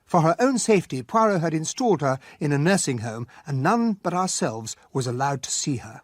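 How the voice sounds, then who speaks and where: A proper English gentleman in a radio drama. His voice is high for a man and husky, with an earnest, polite, easily shocked manner. The sound is dry and close. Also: very animated, brisk, dry recording. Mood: measured narrating. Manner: polite, slightly flustered, earnest. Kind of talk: radio drama acting and narration.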